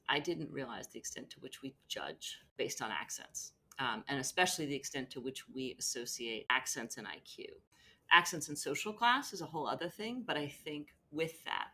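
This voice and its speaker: Bright voiced speaker, mid pitch, careful answer, faint rumble behind. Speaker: interviewee. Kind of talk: reflective interview answer.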